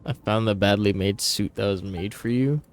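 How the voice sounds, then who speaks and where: A man's voice: fairly low pitch, moderate pace. A man doing casual, profanity-laced live game commentary and banter, with a blunt, teasing, slangy manner. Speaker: game streamer. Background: music.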